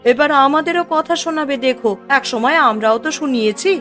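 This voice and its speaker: Woman acting an expressive, high-voiced village mother. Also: a little fast, soft background music. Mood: worried, regretful. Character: emotional village mother and neighbour wife, middle-aged. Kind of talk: Bengali moral-story cartoon voice acting.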